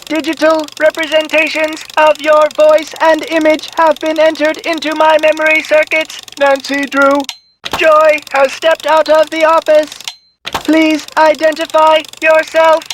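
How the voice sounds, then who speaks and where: A woman's voice: high voice, completely flat delivery, unhurried, a little hiss or hum. A woman voicing a literal-minded, officious memory robot in a video game, speaking in formal, stilted phrases. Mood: robotic, formal. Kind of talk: video game character voice lines.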